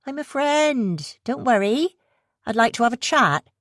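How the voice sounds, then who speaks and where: A sly, pushy schemer in a thick West Country accent, as a woman reader puts her on, pitched well above the reader's own voice. She rushes her words out with a subtly mocking edge and stays lively and bouncing in pitch, read close and dry for an audiobook. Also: no room sound. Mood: coaxing. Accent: West Country English.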